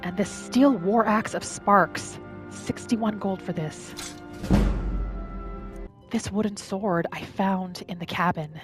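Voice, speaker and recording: A woman narrating her game roleplay in character, with a gentle, musing manner as if talking to herself and her pets. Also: medium pitch, takes it slowly, long pauses. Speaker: roleplay gaming host. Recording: music behind the voice. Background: background music.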